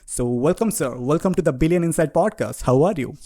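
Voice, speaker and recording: Polite, formal podcast host, dry sound. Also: male voice, on the high side, a little fast, mellow, no room sound. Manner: formal, polite, addresses guest as sir. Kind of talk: scripted intro then interview questions.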